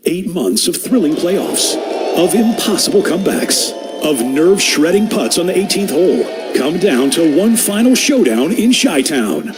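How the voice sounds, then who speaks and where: A man with a high, very animated voice delivers a dramatic scripted sports promo. Music plays clearly underneath. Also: moderate pace. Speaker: commercial announcer.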